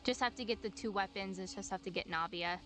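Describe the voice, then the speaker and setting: A woman's voice: medium pitch, quick pace, fairly bright tone, faint music behind. A woman streamer chatting casually while she plays a video game. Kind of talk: casual chat while gaming. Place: voice call with game music behind.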